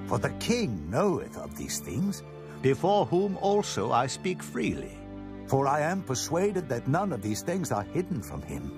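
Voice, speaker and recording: Man acting earnest, impassioned prisoner preacher, very animated. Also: mid-range voice, unhurried. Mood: fervent, respectful. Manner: declamatory, solemn. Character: Apostle Paul, earnest, impassioned prisoner defending himself. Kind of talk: dramatized scripture acting. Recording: prominent music bed under voice.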